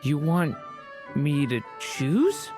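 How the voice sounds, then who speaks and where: An actor voicing a gentle young male dragon in boy's form in a video game, thoughtful, hesitant and sincere. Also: medium pitch, sing-song, extremely slow, faint music behind. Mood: wondering, reflective. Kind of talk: game dialogue acting.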